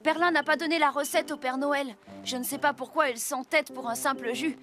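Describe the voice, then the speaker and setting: A woman plays a young boy apprentice in a children's cartoon. The voice is high, frank and teasing, yet kind. Music is clearly audible underneath. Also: steady tone, a little fast, lightly acted. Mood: helpful. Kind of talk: French children's cartoon dubbing.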